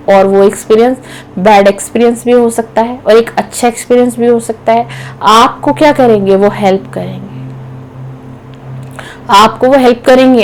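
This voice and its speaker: A middle-aged woman reading tarot cards, giving gentle, repetitive life advice to her viewers. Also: fairly high pitch, slight background noise, rough recording. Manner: gentle, repetitive, advising with frequent "theek hai na". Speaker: tarot card reader. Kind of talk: spiritual guidance talk to viewers. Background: faint music.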